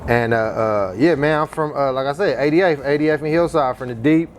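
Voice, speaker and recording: Man, Oakland accent, mid-pitched voice, slangy casual chat, interview guest, noticeable background noise. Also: moderate pace. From East Oakland, California. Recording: clear background noise, ambience.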